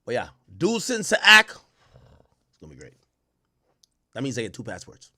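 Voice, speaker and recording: A man's voice: mid-range voice, wildly swooping pitch, a little fast, pushed and bright. A man in his thirties, born in Jamaica, chatting loosely to his stream chat with slang and swearing, wearing a headset indoors. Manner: loose, rambling, profane, heavy slang. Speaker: livestream host. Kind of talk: casual livestream commentary to chat. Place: indoor home streaming room.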